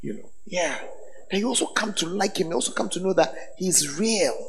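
A man preaching as a bishop, his voice hoarse, teasing the congregation with call-and-response questions. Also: high voice, expressive, brisk, slight background noise. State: hoarse, voice tired. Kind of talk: sermon. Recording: echoey hall with faint ambience.

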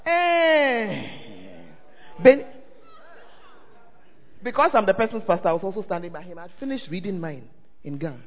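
A man with a Ghanaian English accent preaching a lively sermon as pastor, often calling out to the congregation to check that they are listening. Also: sits high, normal speed, long pauses. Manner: call-and-response, often asks the crowd "are you there?". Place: church hall. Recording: dull, echoing church hall recording.

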